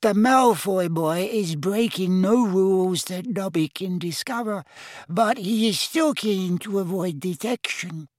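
A man voicing a squeaky, anxious, loyal male house elf. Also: a little slow, no room sound. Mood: earnest. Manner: earnest, eager to please.

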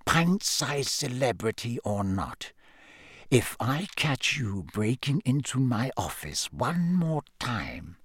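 Guy doing nasty sneering male teacher for an audiobook, cold, slow hissy menace, super dry sound. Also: on the high side, lively intonation, dry recording. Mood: quiet menace. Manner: slow, deliberate, quiet hissing menace. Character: sneering, menacing adult male schoolteacher, coldly contemptuous. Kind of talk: audiobook character voice.

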